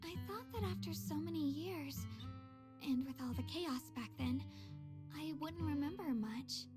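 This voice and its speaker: An actress voicing a gentle, reflective young woman in a video game, with thoughtful, measured, wistful delivery. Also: high-pitched. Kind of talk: video game dramatic voice acting. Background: plucked guitar music.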